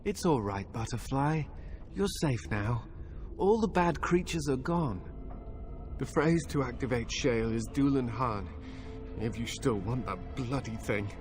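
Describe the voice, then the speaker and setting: A man's voice: mid-range voice, animated, unhurried, lightly acted. A man voicing an earnest, plain-spoken father who helps the hero. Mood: relieved. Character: worried father, a helpful middle-aged man. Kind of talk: video game cutscene voice acting. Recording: game audio with music behind.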